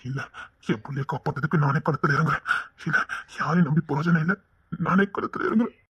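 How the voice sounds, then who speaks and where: A man's voice: a little high, fast talker, a bit bright, breathy edge, dull audio. An actor playing a grieving father who weeps and pleads for his missing child, repeating himself over and over. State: crying. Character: grief-stricken father, desperate and stubborn. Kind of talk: tearful serial drama acting.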